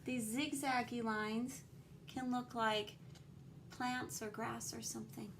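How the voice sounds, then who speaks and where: A woman teacher reading a picture book aloud to children, explaining the drawings in a simple, instructive, expressive way with sing-song emphasis on key words. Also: on the high side, slow pace, noisy background.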